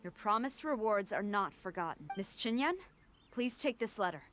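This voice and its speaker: A woman playing a disciplined, honorable samurai general in a video game, speaking in a formal, measured manner. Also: mid-range voice, animated, fairly bright tone, slight background noise, close to an everyday voice. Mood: formally grateful.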